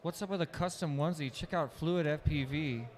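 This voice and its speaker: A man's voice: on the high side, a little fast. A man announcing a live drone race, teasing pilots and making jokes. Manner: joking, teasing, playful announcer.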